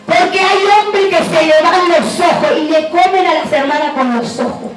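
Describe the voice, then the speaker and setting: A middle-aged woman evangelist, preaching a fiery sermon in a shouting, half-singing cadence. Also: very high voice, moderate pace. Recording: echoey live church recording, far from mic. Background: music and congregation.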